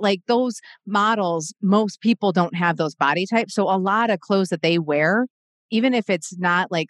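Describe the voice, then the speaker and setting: A woman's voice: lowish voice, no echo. A woman in her forties chatting casually as a podcast co-host, reflective and full of fillers and restarts. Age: 40s. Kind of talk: casual chat.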